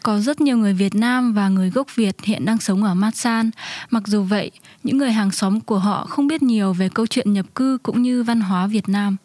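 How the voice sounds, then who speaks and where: A woman's voice: mid-range voice, brisk, mellow, no echo. A woman co-hosting a radio magazine from a script.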